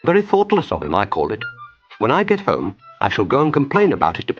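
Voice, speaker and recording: A man's voice: mid-range voice, huge pitch swings, quick pace, dull audio, faint music behind, pitched above the performer's own voice. A man voicing a small, timid male mole in a children's story, plaintive and polite in manner. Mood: indignant.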